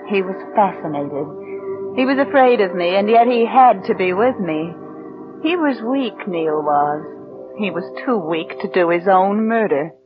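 A woman's voice: mid-range voice, lightly acted. An actress playing a coldly confident young woman who plots murder, in 1940s radio drama style. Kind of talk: 1940s radio acting, confessional narration. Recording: dull vintage radio recording, music behind.

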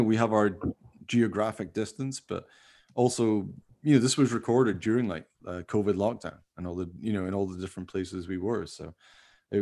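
Low, creaky-voiced man chatting casually. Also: warm tone. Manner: rambling, informal, often hedging with "you know". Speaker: podcast host, radio play writer. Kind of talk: casual chat.

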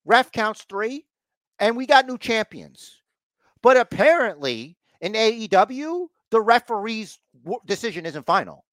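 A man's voice: high voice, big pitch swings, unhurried, a bit bright, no echo. A man hosting a podcast, giving a casual, rambling recap with mock exasperation and repeated phrases for emphasis. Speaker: podcast host recapping wrestling.

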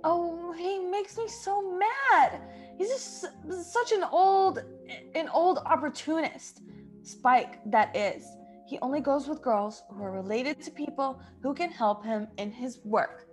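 Woman doing a fed-up jealous sitter girl, high voice, slow and swoopy, radio comedy. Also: animated, soft background music. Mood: exasperated. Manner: put-upon, exasperated. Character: weary, jealous young female dog sitter. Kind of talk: comedic radio play acting.

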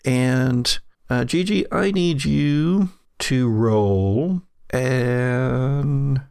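A man narrating a horror tabletop game as game master, telling it in a halting, descriptive way. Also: medium pitch, vocal fry, a little mellow, smooth, no echo, clean studio sound.

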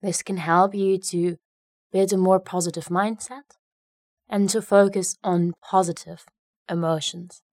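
A woman leads a guided meditation at a very slow pace, with long pauses between short phrases. Her voice sits slightly low and she speaks gently. The recording is dry and close, with little room sound. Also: steady tone, no room sound.

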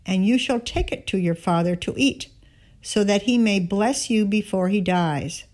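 A woman giving a scripted Bible reading, narrating and voicing the dialogue in deliberate, measured phrasing. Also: mid-range voice, a little mellow. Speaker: scripture reader.

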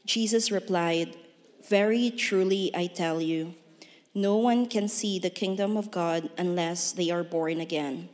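Lady reading the bible into a handheld mic, careful and steady, big church echo. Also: fairly low pitch, unhurried. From Philippines. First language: Hiligaynon. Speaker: scripture reader. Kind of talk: reading scripture aloud in church. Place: church hall. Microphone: handheld microphone. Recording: long church reverb.

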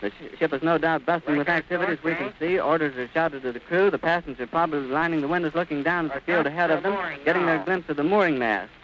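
A man's voice: a little high, fast, few pauses, highs cut off, crowd noise. An American man giving florid, descriptive live commentary as a 1930s radio reporter, on an old recording.

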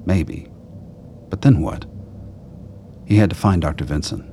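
An extremely deep male voice with faint background noise delivers scripted narration. He is the storyteller of a radio play. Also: unhurried, mellow.

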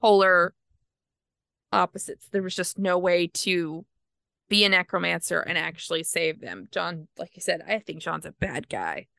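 A woman co-hosting a casual book discussion podcast, talking earnestly with quick asides. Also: lowish voice, creaky, bright, forceful tone, no room sound. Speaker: podcast co-host.

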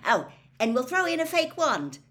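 A woman acts out a teenage wizard boy in a storybook reading. He is cheeky and brash, bold and flippant in delivery. The melody is lively and varied. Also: high-pitched, normal speed, pitched above the performer's own voice. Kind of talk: audiobook character voice.

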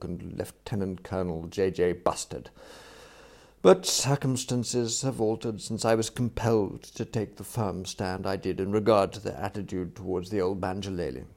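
Man acting an excitable upper-class English young gentleman. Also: fairly low pitch, vocal fry. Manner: blustering, exclamatory, slangy. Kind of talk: acted audiobook dialogue.

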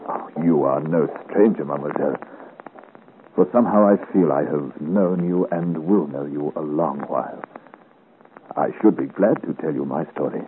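Young adventurer, deep voice, courtly period speech, 1950s radio drama, narrow sound with background noise. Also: male voice, normal speed. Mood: earnest. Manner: earnest, courtly, formal period diction. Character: earnest, gallant young swordsman of great size, seeking his father's name. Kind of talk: 1950s radio serial acting. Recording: muffled, hissy old radio serial recording.

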